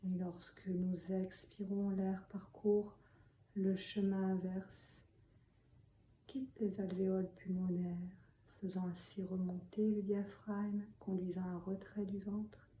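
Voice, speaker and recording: Soft, dark-voiced woman guiding slow meditation. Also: mid-range voice. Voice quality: soft, breathy, often near a whisper. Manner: calm, slow, gently instructing with long pauses. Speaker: meditation and yoga teacher. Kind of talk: guided meditation. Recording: roomy echo, faint background noise.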